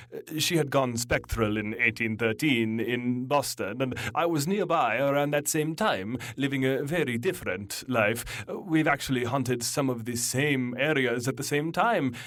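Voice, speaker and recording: A man playing an awkward, lovestruck centuries-old vampire in a comedy audio drama, speaking bashfully with halting stammers. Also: medium pitch, fairly bright tone, no echo, clean studio sound, close to an everyday voice. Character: lovestruck, awkward centuries-old male vampire telling his dating story. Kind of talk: mock dating testimonial in comedy audio drama.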